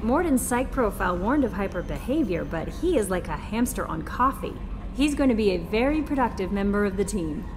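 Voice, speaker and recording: A woman's voice: medium pitch, big pitch swings, brisk, music in the background, lightly acted. A woman playing a chatty, friendly crew assistant in a video game, informal and breezy. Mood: amused, friendly.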